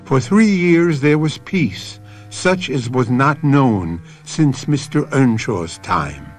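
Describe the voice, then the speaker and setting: A man hosting and narrating a radio drama as a measured, theatrical storyteller. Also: medium pitch, lively intonation, faint music behind. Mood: solemn. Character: theatrical storyteller host of a mystery anthology. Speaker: host and narrator.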